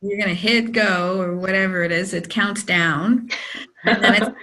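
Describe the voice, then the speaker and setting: A woman practitioner guiding a client through an EFT tapping session, giving calm phrases for her to repeat. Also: mid-range voice, moderate pace, poor audio.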